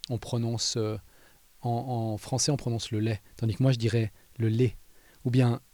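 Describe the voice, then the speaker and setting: A man's voice: medium pitch, little pitch movement, moderate pace, warm tone, no echo. A man from Valais with a light Valais Swiss French accent, talking through his answers in a street interview, hesitant and full of fillers. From Valais, Switzerland. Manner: thoughtful, hesitant, many fillers.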